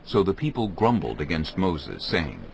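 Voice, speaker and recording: A man's voice: low voice, sing-song, normal speed, creaky, a little mellow, a little hiss or hum. A man narrating scripture as a dramatic story, in a solemn storytelling manner.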